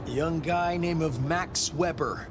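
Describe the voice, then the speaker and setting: A man's voice: on the high side, slow, over music. An actor playing a matter-of-fact policeman in a video game, speaking in clipped, casual phrases.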